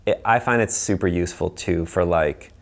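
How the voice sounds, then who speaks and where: Very deep-voiced man with vocal fry. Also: moderate pace. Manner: explanatory, informal. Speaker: audio designer. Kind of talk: casual technical conversation.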